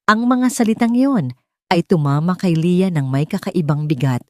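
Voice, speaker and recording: A woman's voice: lowish voice, expressive, moderate pace, warm tone, dry recording. A woman reading a scripted romance story as a dramatic audiobook narrator.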